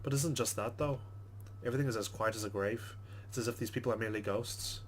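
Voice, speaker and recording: A man's voice: medium pitch, fast, a little hiss or hum. A man hosting a let's play, reading game narration aloud with casual commentary.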